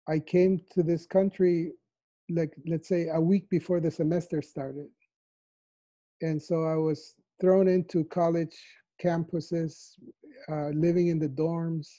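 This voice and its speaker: A man in his fifties from El Salvador, answering interview questions thoughtfully from his living room on a video call, with a lapel mic. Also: fairly high pitch. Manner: soft-spoken, thoughtful, with frequent fillers. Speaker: mathematician interviewee. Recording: dull lo-fi video call audio.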